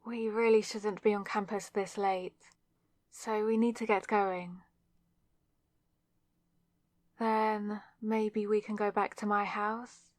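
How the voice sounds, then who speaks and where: A woman's voice: medium pitch, dry recording, studio quality, natural voice. A young woman playing a flustered, awkward student witch with a wry, self-deprecating manner in an ASMR roleplay, speaking straight to the listener. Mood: elated, shy. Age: young adult. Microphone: close microphone in a dry space.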